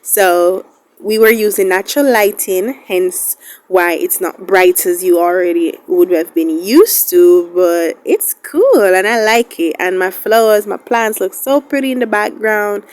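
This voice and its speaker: A woman vlogger with a medium-pitched voice chats informally to her audience. She addresses viewers affectionately, like a host introducing a tutorial in an easy, conversational style. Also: animated, unhurried, darkish tone. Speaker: beauty YouTuber, host. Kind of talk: casual chatty vlog talk to viewers.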